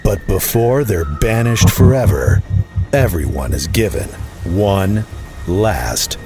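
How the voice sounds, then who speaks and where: An actor playing a grave, ominous narrator, delivering dramatic trailer narration for a dystopian audio drama in a deliberate, portentous way. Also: male voice, fairly low pitch, lively intonation, a little mellow, faint music behind.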